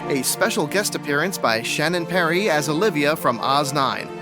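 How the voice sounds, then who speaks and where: A man reads a scripted announcement as a credits announcer. His voice sits fairly high, with music clearly behind it. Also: lively intonation, normal speed.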